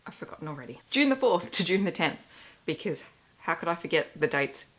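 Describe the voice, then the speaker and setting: Hoarse woman with a low voice. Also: big pitch swings, fairly quick, rough recording. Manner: chatty and candid, with self-deprecating asides. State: hoarse, losing her voice. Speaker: book vlogger. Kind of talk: casual book wrap-up vlog.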